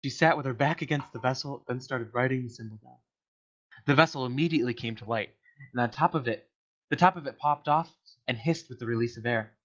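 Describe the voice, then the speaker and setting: A man's voice: medium pitch, brisk, fairly bright tone. Man narrating a story, dull lo-fi audio. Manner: stumbles over words and corrects himself. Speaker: reader of a comedic story to friends in a voice channel. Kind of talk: amateur dramatic story narration.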